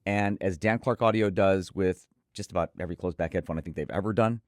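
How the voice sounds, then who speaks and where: A man's voice: low voice, fairly quick, no echo, clean studio sound. A man hosting a conversational product review in an enthusiastic, emphatic manner. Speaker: host and headphone reviewer.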